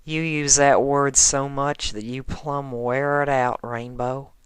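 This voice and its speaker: A performer voicing a plain-spoken country farm mare pony in a fan story, blunt, folksy and calm. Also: mid-range voice, slow, clear, resonant tone, dry recording, close to an everyday voice. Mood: dry.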